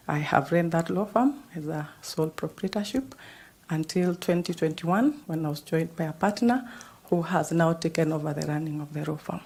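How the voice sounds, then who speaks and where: A Kenyan woman in her fifties with a Kenyan accent, answering questions in a formal interview with measured pauses and fillers. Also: deep voice, a little mellow, breathy edge. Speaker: job candidate.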